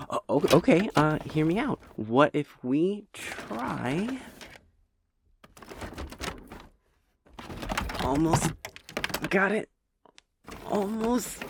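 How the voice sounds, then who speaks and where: A man's voice: high voice, wildly swooping pitch, takes it slowly, gravelly, pauses often, noisy background, exaggerated voice. A man playing a shy, awkward young demon hunter in an anime audio drama, stammering, hesitant and apologetic. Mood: flustered, nervous.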